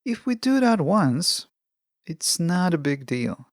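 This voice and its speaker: A podcast host delivering a scripted self-help monologue in a measured, instructional way with deliberate phrasing. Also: medium pitch, big pitch swings, no room sound, clean studio sound.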